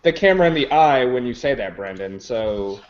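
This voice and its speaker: A man's voice: mid-range voice, lively intonation, brisk, highs cut off, noisy background. A man in his early twenties hosting a group video call, teasing and reading out viewer questions. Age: 20s. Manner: rambling, teasing, reads out questions. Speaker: hangout host. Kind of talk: casual group video chat.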